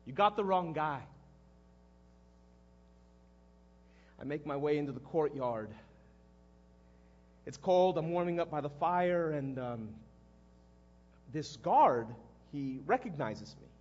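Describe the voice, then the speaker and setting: An actor playing a guilt-ridden, impulsive fisherman disciple in a halting, conversational dramatic monologue, with a husky male voice. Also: on the high side, expressive, muffled recording. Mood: uneasy. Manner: halting, conversational, erupting into shouts. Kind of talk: dramatic first-person monologue.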